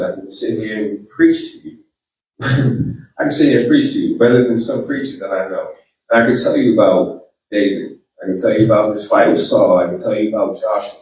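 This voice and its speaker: A man's voice: fairly low pitch, a little hiss or hum. A middle-aged man with a Boston accent giving an earnest campaign speech with a preacherly, repeating cadence. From Boston, Massachusetts. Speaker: political candidate. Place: community hall. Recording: echoey hall, mic from far off.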